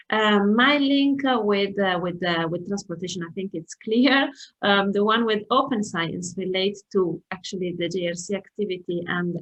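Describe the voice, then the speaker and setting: A middle-aged woman, a transport economist, introducing herself on an online panel over a video call, pausing often with fillers and restarts. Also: medium pitch, a bit bright, rough recording. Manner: hesitant, many fillers and restarts.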